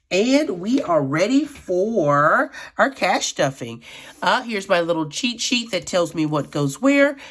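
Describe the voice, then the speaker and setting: A middle-aged woman vlogging about her budget in a chatty, friendly way, a little raspy from allergies. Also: fairly low pitch, a little hiss or hum. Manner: chatty, addresses viewers as friends. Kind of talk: casual chat while counting cash.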